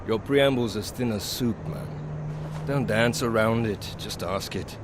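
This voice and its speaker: Very deep actor's male voice, weary philosophical pilot, blunt, dry wit, video game drama, noisy urban background. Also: measured pace, lightly acted. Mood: bitter reflection. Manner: blunt, wry. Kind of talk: video game cutscene acting.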